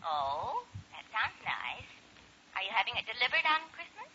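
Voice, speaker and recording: A woman playing an older, gently meddling mother with a coaxing, martyr-like manner in a 1940s radio sitcom. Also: a little high, huge pitch swings, normal speed, breathy edge, heavily acted. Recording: muffled, noisy 1940s radio broadcast.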